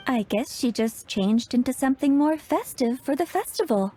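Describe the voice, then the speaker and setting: Easygoing, higher-voiced young woman. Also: expressive, normal speed, dark tone, slightly rough, soft background music. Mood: friendly. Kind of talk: video game voice acting.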